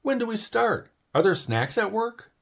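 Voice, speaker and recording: A man voicing an eager, chatty young female bird in a storybook read-aloud, firing off excited questions. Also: sits high, a little slow, creaky, pitched above the performer's own voice, exaggerated voice.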